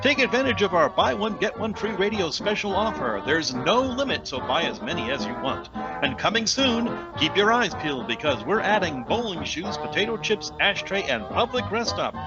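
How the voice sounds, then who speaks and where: A man playing a mock-earnest radio announcer in an old-time commercial parody, salesy with dramatic pauses. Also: high-pitched, very animated. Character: mock-earnest radio announcer pitching silly sponsor products. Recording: dull lo-fi sound, loud music bed.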